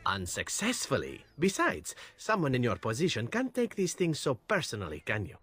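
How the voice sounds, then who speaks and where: An actor playing a glib, charming captured assassin in a fantasy video game, wry and ornately polite. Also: male voice, mid-range voice, huge pitch swings, normal speed, fairly bright tone, slightly rough, faint music behind, exaggerated voice. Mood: sardonic.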